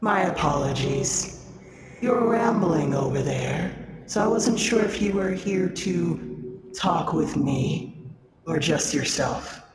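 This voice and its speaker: Audio drama entity, male actor, higher-set voice, unhurried and condescending, ominous collector of souls, echoey. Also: animated, heavy background noise. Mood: condescending calm. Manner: condescending, unhurried, articulate, quietly menacing. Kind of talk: scripted audio drama dialogue.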